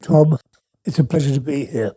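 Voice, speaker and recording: A man's voice: on the high side, moderate pace, mellow, breathy edge, dry recording. A wheezy man with a chest infection, a guest author chatting thoughtfully in an interview with some hesitations. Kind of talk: casual interview chat.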